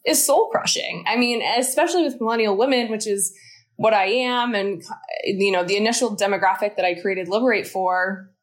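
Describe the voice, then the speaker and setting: A woman's voice: mid-range voice, moderate pace. A woman in her thirties speaks as an interview guest. She has a mid-range voice and talks in long, flowing explanations. Her manner is earnest and encouraging. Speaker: guest, founder of a mental fitness studio. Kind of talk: interview conversation.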